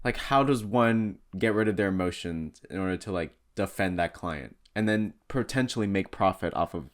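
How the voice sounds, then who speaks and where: Low-voiced young man chatting casually. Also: lively intonation, moderate pace. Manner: informal, blunt, swears casually. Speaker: podcast co-host. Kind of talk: casual chat.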